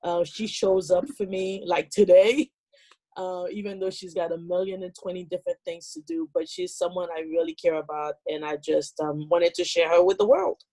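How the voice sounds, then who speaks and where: A woman from Haiti telling a personal story on a video call, with many pauses and fillers. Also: lowish voice, flat delivery, mellow, poor audio. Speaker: meeting participant.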